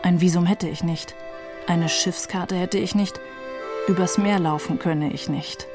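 A woman reads the part of a young refugee narrator in a literary radio feature, wry and detached. The voice sits low and keeps a composed storytelling tone, with faint music behind it. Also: steady tone, unhurried, lightly acted. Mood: detached, dry. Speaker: reader of a literary novel.

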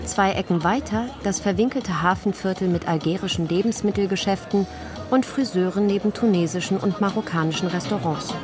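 A woman's voice: medium pitch, brisk, music in the background. A woman reading scripted narration for a radio feature as its reporter.

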